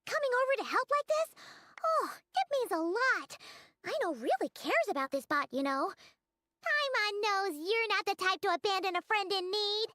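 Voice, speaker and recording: A woman voicing a tiny, chirpy, childlike fairy companion in a video game, squeaky and eager. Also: animated, normal speed, pushed and bright, no echo, studio quality, exaggerated voice. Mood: coaxing, earnest. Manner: eager, refers to self in third person.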